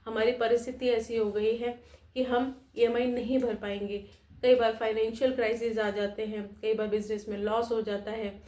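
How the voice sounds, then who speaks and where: Higher-pitched woman in an echoey room. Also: a little mellow. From India. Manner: direct, instructive, addresses the viewer. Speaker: lawyer giving legal advice, channel host. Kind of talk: explanatory legal advice to viewers.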